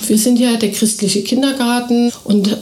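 A woman who runs a kindergarten, answering radio interview questions eagerly and fluently, often filling pauses with short hesitation sounds. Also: on the high side, steady tone, warm tone. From Thuringia, Germany. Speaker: kindergarten director. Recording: echoey room.

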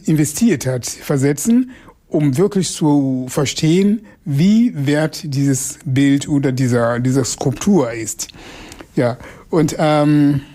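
A man, a Makonde speaker, talking through an interview in a halting way with many fillers and restarts. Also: a little high, dark tone. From Mozambique. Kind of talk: casual spoken commentary on an interview.

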